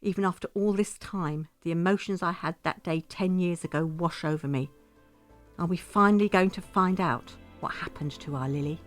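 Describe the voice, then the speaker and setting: A woman's voice: on the low side, moderate pace, soft background music, close to an everyday voice. A woman playing a grieving, bitter widowed mother, telling her story in a first-person audio drama monologue. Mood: sorrowful, reflective.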